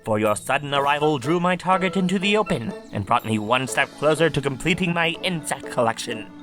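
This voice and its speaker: Woman voicing a low-pitched, pompous boy ninja. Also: lively intonation, a little fast, fairly bright tone, soft background music. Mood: solemn, pompous. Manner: pompous, formal, theatrical. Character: young male bug-hunting ninja, pompous and self-serious. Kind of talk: animated parody dub acting.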